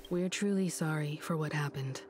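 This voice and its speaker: Actress as a low-voiced, composed gentle woman. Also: moderate pace, slight background noise, natural voice. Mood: composed, sympathetic. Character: composed, gentle adult woman, a travelling companion. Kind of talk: scripted video game dialogue.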